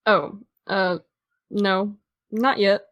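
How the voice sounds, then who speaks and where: A woman's voice: medium pitch, steady tone, drawn-out words, a bit bright, dry recording, studio quality. A young American woman playing a guarded, dryly witty teenage girl in understated, naturalistic audio drama dialogue. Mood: guarded, wry.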